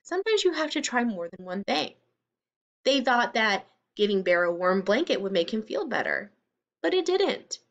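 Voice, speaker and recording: A woman's voice: a little high, sing-song, moderate pace, vocal fry, highs cut off. A woman reading a children's story aloud like a teacher, speaking expressively and explaining things simply to young listeners.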